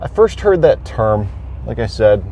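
A man hosting a homestead podcast, talking thoughtfully with pauses and fillers. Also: fairly low pitch, very animated, dark tone, a little hiss or hum. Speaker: podcast host. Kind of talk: casual solo podcast talk. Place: on a homestead farm.

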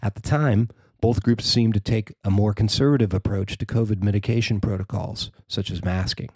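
An American man narrates a written news story in a fairly low voice. The sound is very dry and close, with no room around it, and the delivery is that of a reporter reading copy. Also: steady tone, moderate pace, darkish tone, slightly rough, dry recording.

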